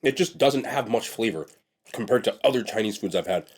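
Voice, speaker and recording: A man's voice: on the low side, lively intonation, a little fast, breathy edge. A young man reviewing food on camera, with a congested, sniffly nasal voice, talking bluntly and chattily and trailing off into restarts. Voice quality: nasal from a blocked nose. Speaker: food vlogger. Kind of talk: casual mukbang food review.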